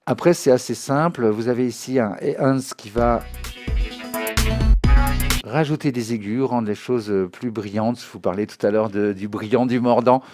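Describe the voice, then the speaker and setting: A man giving an informal spoken tutorial as a music software trainer, explaining as he demonstrates and often checking that listeners follow. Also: mid-range voice, normal speed. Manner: conversational, checks understanding often with short tag questions. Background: music and synth demo sounds.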